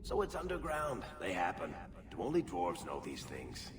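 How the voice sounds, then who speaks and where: An actor playing a roguish, easygoing dwarf with a wry, conversational manner in a fantasy video game. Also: male voice, low-pitched, huge pitch swings, normal speed. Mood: wry doubt. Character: wisecracking male dwarf storyteller, roguish and easygoing. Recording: echoey cavernous reverb, noisy ambience behind.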